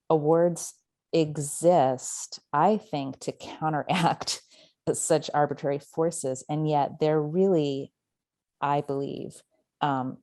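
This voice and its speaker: A woman with a very low, mellow voice speaks as a podcast guest, a novelist. She talks thoughtfully and hedges her points as she goes. The sound is dry and close. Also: no echo. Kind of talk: casual podcast conversation.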